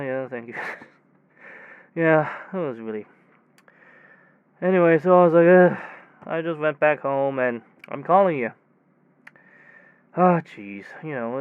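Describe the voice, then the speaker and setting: A man playing a weary, exasperated technician who tells his bizarre tale in a rambling, halting, conversational audio drama monologue. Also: medium pitch, clear, resonant tone, no room sound, narrow, tinny audio, lightly acted. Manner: rambling, halting, animated storytelling with sighs. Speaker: voice actor in a self-written audio drama.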